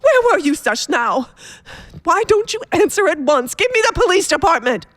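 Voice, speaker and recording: A woman's voice: extremely high pitch, sing-song, moderate pace, fairly bright tone, no echo, heavily acted. A woman playing an imperious, self-pitying bedridden woman on the edge of hysteria in a radio thriller. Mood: panicky, demanding. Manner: imperious, hysterical, haughty, breathless pleading. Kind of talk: old radio thriller acting.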